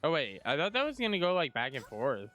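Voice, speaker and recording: A young man chats casually through his gameplay, thinking aloud in a rambling way. His voice is bright and clean with very lively pitch, and soft music plays behind him. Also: a little fast. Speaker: gaming streamer.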